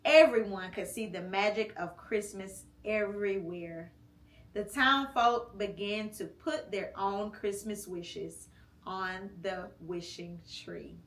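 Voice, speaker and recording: A woman reading a picture book aloud at home with her child, gentle and encouraging, stopping to prompt him. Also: medium pitch, slow, roomy sound. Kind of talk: read-aloud storytime and casual chat.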